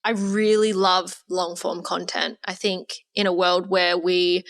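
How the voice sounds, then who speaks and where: A woman hosting a podcast interview, talking in an eager, upbeat way. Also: on the low side. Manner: eager, upbeat, energetic talk. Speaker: podcast host. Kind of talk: casual interview chat.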